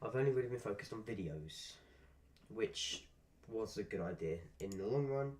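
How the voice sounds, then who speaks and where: A man's voice: fairly low pitch, expressive, normal speed, darkish tone. Chatty man streaming a game. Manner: chatty, swears at the game, rambling asides. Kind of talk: casual live-stream commentary. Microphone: desk microphone.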